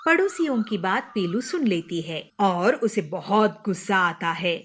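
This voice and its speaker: A woman's voice: medium pitch, expressive, unhurried, breathy edge. A woman narrating a scripted cartoon story as a storyteller.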